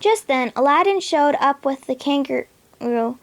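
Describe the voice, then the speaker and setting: A woman's voice: high-pitched, expressive, measured pace, slight background noise. A young female reader reading a children's bedtime story aloud, now and then stumbling and rereading words. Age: child or teen.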